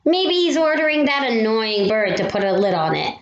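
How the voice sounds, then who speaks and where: A woman reading a children's storybook aloud expressively, with animated, drawn-out emphasis. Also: fairly high pitch, highs cut off. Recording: roomy echo with faint noise.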